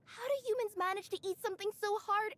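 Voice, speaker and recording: Very high-pitched young cat-girl. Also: quick pace, a bit bright, no room sound. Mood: whiny, indignant. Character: young female cat spirit in human form, fussy, innocent. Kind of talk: scripted game character monologue.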